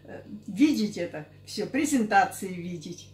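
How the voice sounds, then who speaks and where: A woman of sixty-seven giving halting, unscripted interview answers, often repeating herself. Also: mid-range voice, expressive, a crowd in the background. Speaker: interviewee giving a product testimonial. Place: small curtained indoor office. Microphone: camera a couple of metres away. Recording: echoey room.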